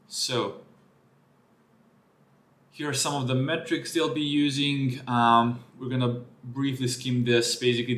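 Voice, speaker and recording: A man talks through a technical paper live, thinking aloud and correcting himself as he goes. His voice sits at an ordinary middle pitch. The room around him gives a noticeable echo. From Serbia. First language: Serbian. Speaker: streamer reading a research paper. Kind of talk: live-streamed paper walkthrough.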